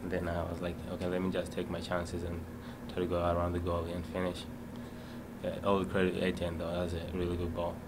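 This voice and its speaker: A young man speaks into a desk mic at a post-game press conference in a relaxed, casual way, with many "like" fillers. His voice is very low and almost completely monotone. A clear hiss sits under it. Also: quick pace. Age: young adult. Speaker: player. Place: small press room. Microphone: desk mic on stand.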